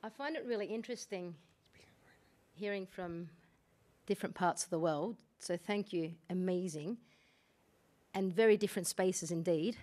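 Woman, audience member, loud, reflective, off mic. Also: fairly low pitch, moderate pace, long pauses. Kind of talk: audience comment. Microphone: off microphone.

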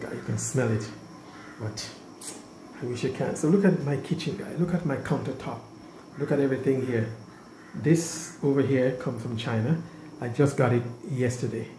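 A man's voice: medium pitch, background noise. Chatty man touring his new house. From Caribbean. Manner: chatty, keeps addressing viewers as guys. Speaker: vlogger giving a house tour. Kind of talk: casual walking house tour. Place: house and yard, indoors and out. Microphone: handheld phone camera, filming while walking.

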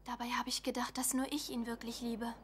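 A woman's voice: on the high side, level tone, brisk, a little hiss or hum, close to an everyday voice. An actress playing a thoughtful, puzzled teenage schoolgirl in an anime audio drama. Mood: downcast.

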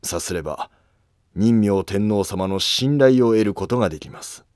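A man's voice: fairly low pitch, expressive, normal speed, a little mellow, no echo, clean studio sound, natural voice. A man voicing a loyal, concerned court retainer in a historical cartoon drama, formal and respectful. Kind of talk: Japanese historical animated drama acting.

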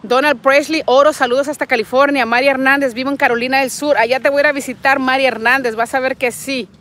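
A woman's voice: high-pitched, fairly even intonation, quick pace, a bit bright. A woman in her forties from Nayarit with a Mexican accent, chatting affectionately on a livestream and reading viewers' comments, recorded outdoors on a phone with an earbud mic. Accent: Mexican Spanish. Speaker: vlogger hosting a livestream. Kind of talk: casual live chat, greeting viewers. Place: outdoors in a parking lot and a street. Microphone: wired earbud mic, phone at arm's length.

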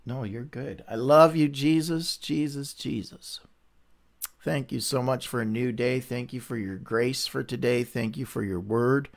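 A man's voice: medium pitch, a little slow, creaky. A man hosting a casual livestream Bible reading, chatty and upbeat, greeting viewers by name, with a runny, stuffy nose.

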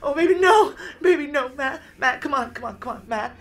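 An actress playing a tough, desperate fugitive, telling her story in an intense, halting, urgent way in an audio drama. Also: high voice, lively intonation, fairly bright tone. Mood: distraught. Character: tough, desperate female fugitive narrating a sci-fi heist. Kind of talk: dramatic first-person audio drama narration and dialogue.

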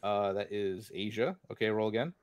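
A man's voice: lowish voice, moderate pace. A man running a tabletop game on a video call, rambling with fillers and laughing often. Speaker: game master. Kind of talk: casual tabletop game chat. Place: home room on video call.

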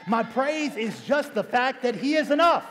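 High-voiced young man preaching in a big hall. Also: lively intonation, normal speed, a bit bright, slight background noise. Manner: emphatic, repeats key phrases for effect. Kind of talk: church sermon to a congregation. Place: large church stage indoors. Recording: reverberant church auditorium sound.

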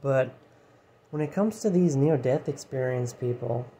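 A man musing aloud in a loose, rambling monologue, swearing casually and tagging lines with "you know", his voice going hoarse. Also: medium pitch, unhurried, mellow. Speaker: channel host. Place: his room, indoors. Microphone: phone set down nearby. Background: heater hum.

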